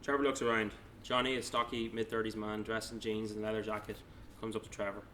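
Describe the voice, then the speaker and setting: A man's voice: on the low side, flat delivery, quick pace, fairly bright tone. A man narrating stage directions at a table read, plainly, with small stumbles as he reads.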